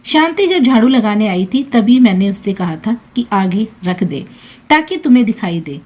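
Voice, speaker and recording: A woman voicing a selfless, soft-hearted elderly mother-in-law in a family story, with an accommodating, soothing manner. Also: medium pitch, big pitch swings, normal speed. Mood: gentle, accommodating.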